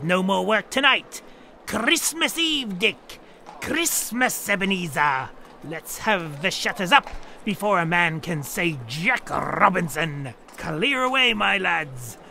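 A hearty old merchant for an audio drama. His calls are exuberant and slow, swooping widely with a gravelly creak. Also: sharp tone, slight background noise, exaggerated voice. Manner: booming, exuberant calling out.

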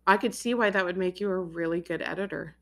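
A woman hosts an interview with a slightly low voice. She talks in long, eager runs when she explains an idea. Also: quick pace. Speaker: interviewer, host. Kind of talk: casual interview chat.

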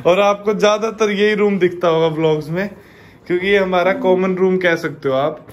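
A man's voice: high voice, fairly even intonation, normal speed. Young man chatting on a house tour. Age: young adult. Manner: chatty, addresses viewers as "bhai". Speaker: vlogger. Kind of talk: casual house tour vlog. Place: indoors in a family home, walking room to room. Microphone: handheld action camera.

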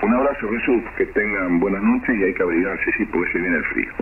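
Deep Rioplatense man over noisy shortwave radio. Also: a little fast. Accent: Rioplatense Spanish. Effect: shortwave radio transmission. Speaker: amateur radio operator. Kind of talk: casual chat over ham radio. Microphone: dual-capsule radio desk or hand microphone. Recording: muffled shortwave radio, loud static, distant.

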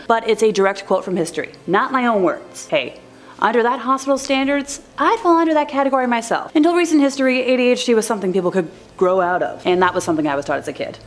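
A young woman in her twenties hosting a scripted countdown video, narrating with chatty, self-deprecating asides, filmed in front of a green-screen backdrop. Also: fairly high pitch. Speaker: host.

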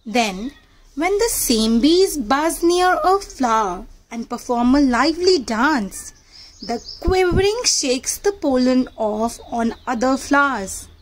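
A woman's voice: high-pitched, expressive, slow pace, a little hiss or hum, low-quality recording. A woman narrating a children's read-aloud book in a teacherly manner, addressing young listeners directly.

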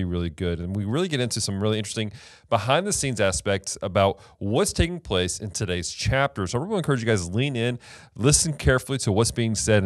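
A man in his thirties, a pastor hosting a casual chat show, upbeat and quick to laugh, close to a studio mic in a small wood-paneled room. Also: fairly low pitch. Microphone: studio mic on boom arm, close.

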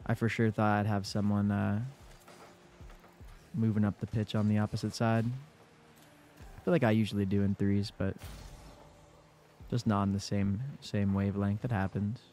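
A man's voice: lowish voice, little pitch movement, moderate pace, long pauses, mellow, a little hiss or hum. A young man with a congested voice giving casual commentary over his own gameplay in a laid-back play-by-play. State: congested from a cold. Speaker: gaming YouTuber.

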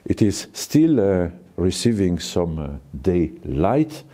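A man in his sixties with a French accent, a cave owner giving a guided tour to camera, talking slowly and haltingly with many fillers. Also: low voice, sing-song, warm tone. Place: forest outdoors, then inside a small cave.

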